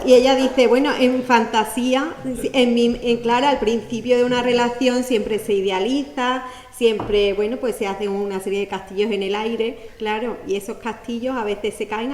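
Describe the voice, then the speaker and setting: A woman's voice: on the high side, darkish tone. Woman presenting a poetry book. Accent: Andalusian Spanish. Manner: explanatory, with many fillers like claro and bueno. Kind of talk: academic talk at a book launch. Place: lecture hall. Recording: echoey hall, faint noise.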